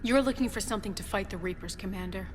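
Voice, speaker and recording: Actress doing brave young female scientist, stubborn n earnest, game drama, normal pitch, echoey. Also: fast talker, close to an everyday voice. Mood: insistent, defiant. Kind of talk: dramatic video game acting. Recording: reverberant space with faint ambient noise.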